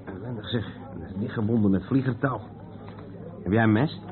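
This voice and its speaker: Low-voiced curious traveller played by a man, tinny radio. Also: moderate pace, a crowd in the background, natural voice. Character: curious, decisive male traveller named Dirk, eager to investigate. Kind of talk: Dutch radio play acting. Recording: noisy, tinny old radio recording.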